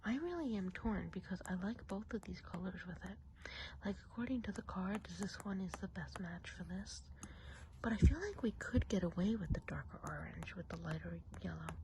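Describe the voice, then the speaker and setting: A woman whispering softly and breathily in a chatty, confiding ASMR roleplay as a gossipy witch of the woods. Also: medium pitch, noisy background. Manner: confiding, unhurried, casual gossip. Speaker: ASMR performer.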